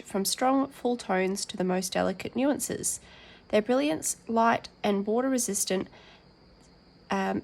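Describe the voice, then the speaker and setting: Croaky woman chatting through an unboxing. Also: mid-range voice, a little mellow. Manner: chatty with frequent ums, reads packaging aloud. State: croaky, tired voice. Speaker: colouring channel host. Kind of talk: casual tabletop unboxing chat.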